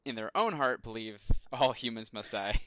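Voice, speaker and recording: Male co-host, smooth and clear, mid-pitched, conversational, feels out his thoughts as he talks. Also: moderate pace, fairly bright tone, no room sound. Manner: hesitant, thinking aloud. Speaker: podcast co-host. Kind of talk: casual chat, pop culture discussion.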